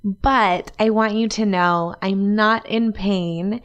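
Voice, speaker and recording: Woman, hoarse from a cold, podcast host, unhurried delivery, cheerful, chatty. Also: medium pitch, fairly even intonation, a bit bright, highs cut off. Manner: upbeat, chatty, laughs often. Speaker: podcast host, life and business coach. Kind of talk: solo podcast talk.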